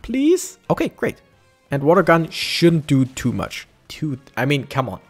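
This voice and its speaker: A young man chats through his playthrough, animated and self-correcting. His voice sits a little high and swings widely in pitch. Faint game music plays behind him. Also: measured pace, husky. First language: German. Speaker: let's play streamer. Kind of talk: casual gameplay commentary.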